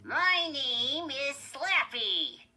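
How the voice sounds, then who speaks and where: A mouthy wooden ventriloquist's dummy, shrill and squeaky through gritted teeth. Every taunt is pitched far up and squeezed tight, in a childish storybook style. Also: female voice, big pitch swings, sharp tone, heavily acted. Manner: drawn-out, taunting.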